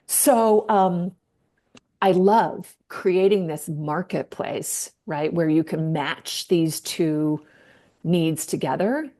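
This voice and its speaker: A woman's voice: fairly low pitch, slow, dark tone. A middle-aged woman hosting a casual online chat on a video call, bubbly and emphatic, often laughing. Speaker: host.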